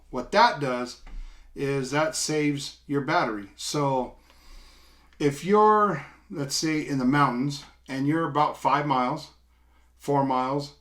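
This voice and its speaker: A middle-aged man giving a casual, unscripted product demo, explaining step by step in an informal, halting way. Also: medium pitch, a bit bright. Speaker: product reviewer. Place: small indoor room, seated at a table.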